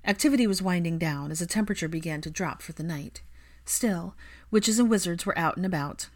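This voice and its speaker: A woman's voice: on the low side, fairly quick. A woman reading fan fiction aloud as a scripted audiobook narration.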